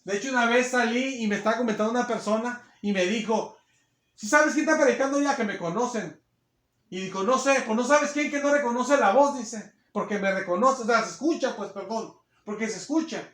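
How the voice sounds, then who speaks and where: A man delivers a sermon in a very high, ringing voice. His naturally powerful voice stays partly held back, then swells into emphatic, louder stretches. The sound carries the echo of a reverberant church room. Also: brisk. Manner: loud, strong voice held back, rising to emphatic bursts. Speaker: preacher.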